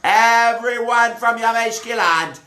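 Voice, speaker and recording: Storyteller doing a gloating reptile king, bossy, drawn-out words, echoey room. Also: mid-range voice, bright, forceful tone, pitched above the performer's own voice. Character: boastful mean snake-crocodile king puppet, male.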